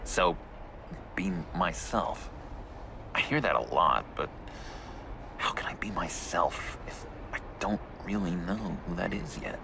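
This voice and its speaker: Unhinged lovestruck game villain, slow n creaky, breathy rambling w sudden outbursts. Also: male voice, deep voice, noisy background, exaggerated voice. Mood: brooding. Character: lovesick, unstable, eccentric young male criminal. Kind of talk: video game voice acting.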